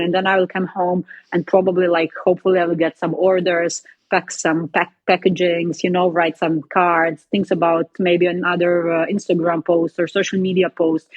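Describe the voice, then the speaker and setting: Young woman, Serbian accent, casual interview guest; husky tone, dry slightly dull sound. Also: lowish voice, level tone, moderate pace, hardly any pauses, dry recording. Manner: chatty, frequent "you know" and "like" fillers. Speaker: guest, footballer and coffee entrepreneur.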